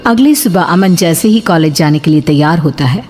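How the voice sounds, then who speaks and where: A woman's voice: low voice, lively intonation, moderate pace, a little mellow, slight background noise. A woman reading scripted, dramatic story narration as the narrator. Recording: clear music bed under voice.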